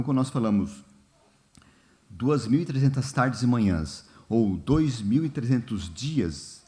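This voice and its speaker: A man leading a church Bible study in a relaxed, informal way, often pausing with fillers and asking the congregation questions. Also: mid-range voice. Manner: unhurried, many fillers and "né?", asks the congregation questions. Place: church hall.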